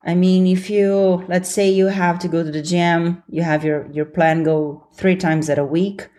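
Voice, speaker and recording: A woman's voice: fairly low pitch, steady tone, unhurried, mellow. A young adult woman from Brazil with a Brazilian accent, hosting a solo podcast in an earnest, encouraging way and laughing easily. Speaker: podcast host. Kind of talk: solo motivational podcast talk.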